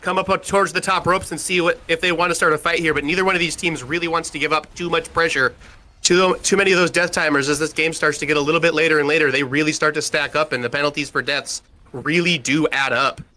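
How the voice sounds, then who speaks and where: A man calling an online match with a loud, powerful voice and rapid, energetic play-by-play. His tone is bright and forceful, and the words pour out quickly. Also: a little high. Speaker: esports caster. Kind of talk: play-by-play commentary.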